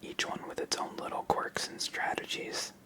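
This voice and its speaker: Whispering ASMR game host, sex unclear. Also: normal speed. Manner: soft whispering, unvoiced. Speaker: host of a card game channel.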